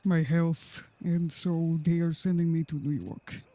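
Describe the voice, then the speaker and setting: A man playing a hesitant man with a health worry, halting through his sentences with many fillers. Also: a little high, little pitch movement, warm tone, no echo, natural voice. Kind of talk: roleplay dialogue.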